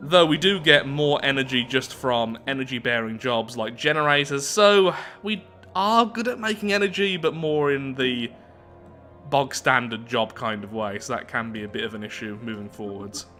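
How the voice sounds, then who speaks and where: A man's voice: mid-range voice, normal speed, sharp tone. A man hosting a game playthrough, switching between reading story text aloud and casual thinking-aloud asides. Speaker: gaming video host. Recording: music playing behind the voice. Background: background game music.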